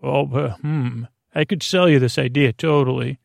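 Male sleep-podcast host, gravelly creak, dry close sound, halting and gently silly, drifting off on tangents. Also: mid-range voice, no echo. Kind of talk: rambling bedtime monologue meant to lull listeners to sleep.

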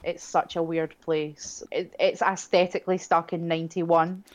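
A woman's voice: fairly low pitch, flat delivery, normal speed. A young woman co-hosting a podcast, chatting casually with a wry, self-deprecating humour. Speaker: podcast co-host. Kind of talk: casual chat. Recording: dull lo-fi sound.

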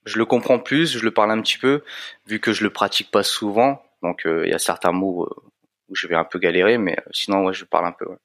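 A man in his late thirties from France, a fitness coach talking casually as an interview guest, relaxed and hesitant with many fillers. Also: on the low side, fast, dry recording, clean studio sound. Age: 30s.

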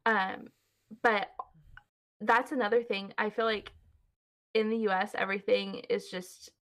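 A woman's voice: medium pitch, steady tone, a little slow, a bit bright. A young woman in her twenties with a Californian American accent, chatting casually and laughing often. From California, USA. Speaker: guest. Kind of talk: casual chat.

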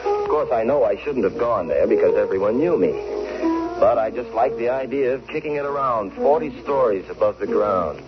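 A man's voice: medium pitch, expressive, moderate pace, loud music behind the voice, natural voice. An actor playing a bitter, jilted young man convinced of a plot, in dramatic, sardonic 1940s radio suspense style. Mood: brooding. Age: young adult. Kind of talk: 1940s radio acting, first-person suspense narration. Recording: dull, faintly noisy old radio recording.

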